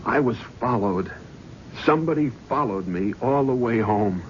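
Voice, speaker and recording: An actor with a New York accent playing a shaken, hard-drinking talent agent with a tense, defensive manner in a 1970s radio drama. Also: male voice, mid-range voice, lively intonation, normal speed, natural voice. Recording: dull old radio broadcast with faint hiss.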